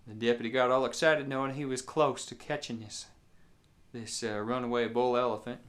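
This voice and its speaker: A man's voice: mid-range voice, steady tone, moderate pace, a bit bright. Folksy storytelling man with a rural Indiana accent. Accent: rural southern Indiana. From southeast Indiana, United States. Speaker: musician and storyteller.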